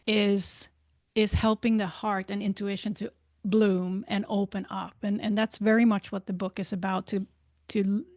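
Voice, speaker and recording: Slow, creaky-voiced woman, closely recorded. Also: mid-range voice, a little mellow, dry recording, clean studio sound. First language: not English. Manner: halting, deliberate, searching for words. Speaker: interview guest, spiritual life coach and author. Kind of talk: reflective interview conversation.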